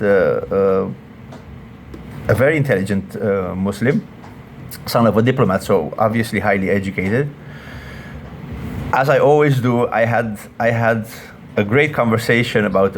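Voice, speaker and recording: A man's voice: lowish voice, moderate pace, a little hiss or hum. Hesitant man introducing his vlog. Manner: hesitant, lots of uh and repeats. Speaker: vlog host.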